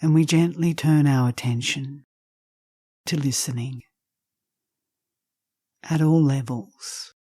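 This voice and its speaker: A woman leading a guided meditation, speaking gently and softly in unhurried phrases with long pauses, with some breathiness. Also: deep voice, slightly rough, dry recording, studio quality. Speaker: meditation teacher.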